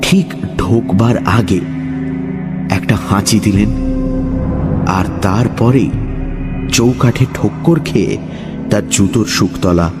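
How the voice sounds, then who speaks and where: Slow, low-voiced male storyteller. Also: loud music behind the voice. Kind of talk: scripted suspense story narration.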